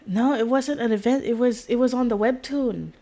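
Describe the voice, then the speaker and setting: A woman's voice: fairly high pitch, moderate pace, mellow. A woman game streamer chatting casually in a rambling, slangy, blunt way, often repeating her words. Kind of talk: casual live-stream chat. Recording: faint background noise, game audio.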